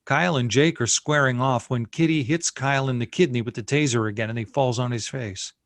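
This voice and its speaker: A man's voice: medium pitch, fairly quick, a bit bright, dry recording. A man reading scene headings and stage directions as narrator at a screenplay table read.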